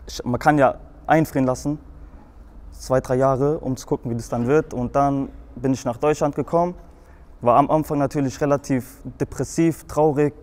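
A young man from Frankfurt with a light Hessian accent, recounting his past. He rambles, and the telling is full of hesitations and restarts. His voice sits in an ordinary middle pitch. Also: fairly even intonation, moderate pace, a little mellow. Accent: Hessian German. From Germany, Frankfurt. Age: young adult. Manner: rambling, many fillers and restarts. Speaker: guest in a show video. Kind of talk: telling his life story.

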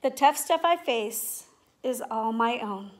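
A middle-aged woman teacher speaking in simple, clear phrases to young children, as in a read-aloud or classroom demonstration. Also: a little high, expressive, slow. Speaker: teacher making videos for pupils. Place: indoors at home, living room and kitchen. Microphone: device mic at about arm's length.

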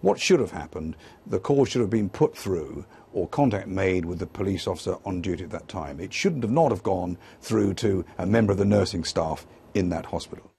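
A middle-aged man with a British accent and a gravelly, husky voice giving an interview comment as a former police officer. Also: sits low, animated, normal speed, mellow. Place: indoors in an office.

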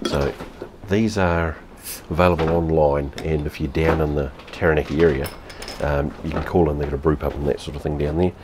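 A man's voice: very deep voice, steady tone, a little fast, hardly any pauses, noisy background. A New Zealand man in his fifties reviewing beer at home on camera, blunt and sweary, repeating himself as he talks. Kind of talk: casual vlog review. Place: home room with TV behind.

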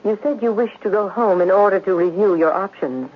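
A man's voice: high-pitched, brisk. An actor, a man, playing a cool gangland boss in a 1970s radio drama, with precise, formal diction. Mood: coolly menacing. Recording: muffled, noisy vintage radio broadcast.

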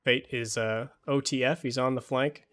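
A man's voice: medium pitch, fairly even intonation, moderate pace, a bit bright. Young man casually breaking down a match. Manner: analytical, thinking aloud with many fillers. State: voice getting tired after hours of streaming. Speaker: esports coach reviewing a match. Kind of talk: casual match review commentary.

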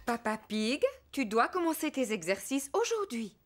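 A woman playing a kindly, sensible, gently firm mummy pig in an animated children's cartoon, clear and motherly. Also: a little high, moderate pace, noisy background.

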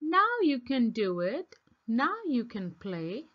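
Woman reading as a slow-spoken, insistent little boy monkey. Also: on the high side, sing-song, lo-fi sound, exaggerated voice. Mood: pleading. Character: young male monkey, pleading, exasperated playmate. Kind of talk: children's storybook read-aloud.

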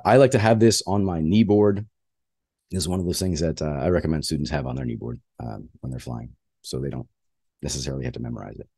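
A man's voice: a bass voice, mellow, dry recording, studio quality. A man who is a flight instructor, giving a plainspoken slide lecture on flying with frequent filler uhs.

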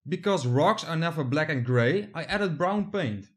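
A man's voice: on the high side, animated, measured pace, a bit bright. A young man from the Netherlands, narrating his hobby build step by step in a plain voice-over.

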